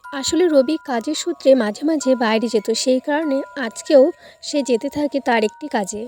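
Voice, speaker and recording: High-voiced woman storyteller over music. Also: normal speed, darkish tone. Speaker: narrator. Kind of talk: scripted cartoon story narration.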